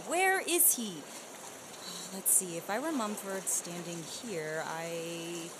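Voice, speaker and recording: A young woman playing an exasperated, sharp-tongued police officer in a live audio comedy. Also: mid-range voice, background noise, natural voice. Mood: puzzled. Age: young adult. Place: small indoor stage with live audience. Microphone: studio condenser mic on stand. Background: live music and sound effects.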